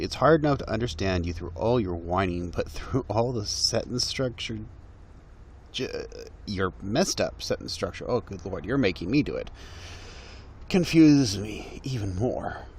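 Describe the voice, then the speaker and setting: Mocking man with a lively voice. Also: lowish voice, a little hiss or hum. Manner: mocking, exasperated, laughs while talking. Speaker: video commentator. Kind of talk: reaction video commentary.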